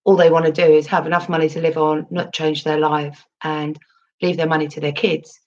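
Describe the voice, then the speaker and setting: Female livestream host, low husky voice, chatty and rambling, dull lo-fi mic. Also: little pitch movement, fairly quick. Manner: chatty, rambling, with frequent ums and restarts. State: recovering from losing her voice. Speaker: crypto livestream host reading chat. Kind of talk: casual livestream chat.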